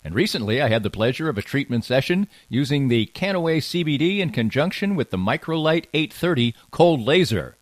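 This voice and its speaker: A male podcast host with an average-pitched voice and a very lively, swinging intonation. He moves between casual banter and sponsor reads with a wry touch. The sound is close and dry, with no room on it. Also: a little fast, few pauses, no room sound.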